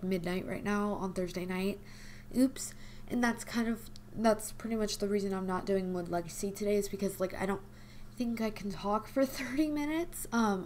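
A young woman hosting a casual game video, her voice hoarse and quiet from a cold, rambling with lots of fillers. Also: mid-range voice, slight background noise. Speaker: gaming channel host. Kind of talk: casual chat over gameplay. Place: home desk at night.

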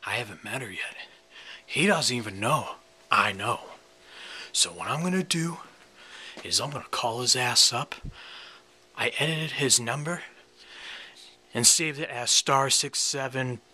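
Young man, prank host, casual to-camera talk, raspy, bright tense edge, showy. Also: mid-range voice, animated, unhurried, pauses often. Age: young adult. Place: indoors, basement-like room with a couch.

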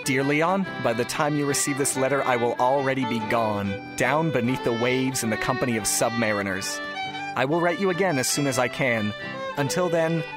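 An actor playing a wistful, mystery-loving man who narrates a reflective letter to his brother in an audio drama, in measured, literate phrases. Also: mid-range voice, lightly acted. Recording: music behind the voice.

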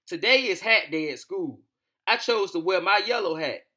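A man's voice: sits high, expressive, moderate pace, pushed and bright. A young American man hosting a children's bedtime read-aloud in an informal, slangy way. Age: young adult. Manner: informal, slangy, addressing listeners familiarly. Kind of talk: casual chat to children and storybook reading. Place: small room at home, indoors. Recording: echoey room, distant camera microphone.

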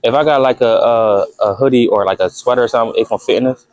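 A man's voice: mid-range voice, quick pace, a little mellow. Laid-back young man vlogging casually. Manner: laid-back, chatty, addresses viewers as y'all. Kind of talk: casual vlog chat. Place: home kitchen, indoors.

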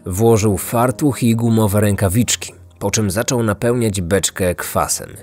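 A man's voice: fairly low pitch, a little slow, a little mellow. A man hosting a true-crime podcast, reading a scripted narration with music behind him. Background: background music.

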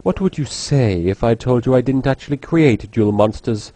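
A man voicing a smug, camp, theatrical villain in a cartoon parody dub, with drawn-out, affected delivery. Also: medium pitch, expressive, heavy background noise, lightly acted. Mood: smug, taunting.

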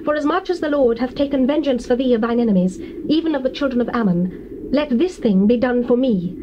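An actress playing a dutiful, sorrowful young daughter in a formal, earnest scripture drama. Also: female voice, high voice, expressive, fairly quick. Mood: sorrowful, resigned. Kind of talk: dramatized scripture reading, classical stage delivery. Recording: muffled old cassette, clear hiss.

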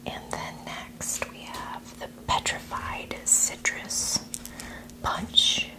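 A woman in her thirties whispering in a hushed, breathy ASMR style, close to the microphone. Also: drawn-out words. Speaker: ASMR creator tasting candy. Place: small indoor setup with fabric backdrop. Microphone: close tabletop microphone.

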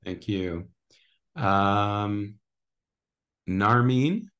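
A man's voice: on the low side, drawn-out words, a bit bright. A man hosting online introductions on a video call, calling on people by name and apologizing for mispronouncing them. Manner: apologetic about pronouncing names. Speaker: workshop host. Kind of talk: casual online meeting introductions.

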